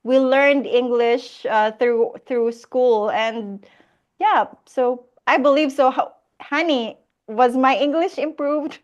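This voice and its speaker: Slow-talking Filipino woman hosting a Q&A. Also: sits high, dull audio. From Philippines. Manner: reads viewer questions aloud, laughs often. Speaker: host of a live Q&A. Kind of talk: casual live-stream Q&A.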